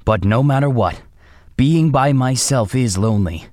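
A man's voice: mid-range voice, expressive, a little slow, a little mellow, no echo, studio quality, natural voice. A man playing a brave, lonely, steadfast toy soldier in a children's storybook. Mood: lonely longing.

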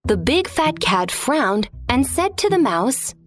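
A woman's voice: high-pitched, sing-song, takes it slowly, soft background music. A woman narrating a scripted children's story as a storyteller.

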